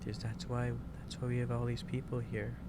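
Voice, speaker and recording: A man playing a gentle, caring office boss in a soft-spoken ASMR roleplay, talking in a halting, reassuring way. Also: mid-range voice, completely flat delivery, lightly acted. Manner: halting, reassuring, unhurried delivery. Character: gentle, caring male office boss lecturing an overworked employee. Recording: clearly noisy background ambience.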